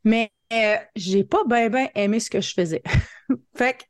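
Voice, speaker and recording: A woman's voice: on the high side, measured pace, dry recording, clean studio sound. A woman in her thirties with a Franco-Ontarian French accent, telling her story casually and colloquially as a guest, dropping in English words. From northern Ontario, Canada. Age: 30s. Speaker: podcast guest, marketing coach.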